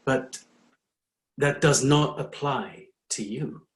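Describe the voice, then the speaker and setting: A middle-aged man playing a weary, exasperated doctor in a dramatic reading, with halting, stammering delivery. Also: medium pitch, close to an everyday voice. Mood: firm, exasperated. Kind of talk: dramatic fan fiction reading.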